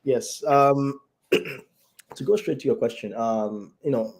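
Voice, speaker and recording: A man, a doctor, speaking as a guest on a talk show over a video call, his voice raspy and hoarse from flu, explaining things deliberately and correcting himself as he goes. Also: medium pitch, a little mellow.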